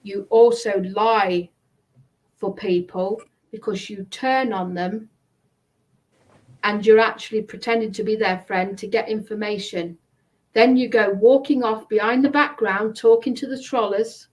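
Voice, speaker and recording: A woman's voice: medium pitch, fairly even intonation, unhurried, low-quality recording. A woman live streamer delivering a confrontational monologue in an accusatory, emphatic, repetitive manner.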